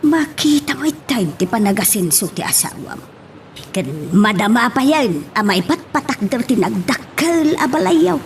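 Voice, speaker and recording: Woman acting lively, coaxing mother. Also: on the high side, measured pace, a little hiss or hum. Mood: gently coaxing. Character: caring, coaxing mother advising her grown child. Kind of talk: Ilocano audio drama acting.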